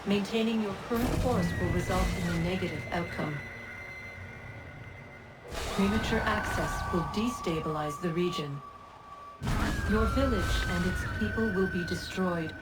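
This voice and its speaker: A woman voicing a solemn, commanding guiding spirit in a video game, speaking in formal, measured, cryptic pronouncements. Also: lowish voice, heavy background noise, close to an everyday voice. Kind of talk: scripted video game cutscene acting. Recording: distant voice under loud music.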